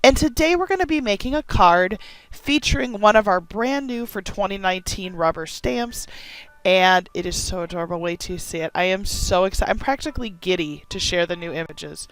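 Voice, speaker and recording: A hoarse woman from Wisconsin hosting a casual card-making tutorial, talking with gushing enthusiasm. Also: mid-range voice, animated, fairly quick, rough recording. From Wisconsin, USA. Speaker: craft channel host.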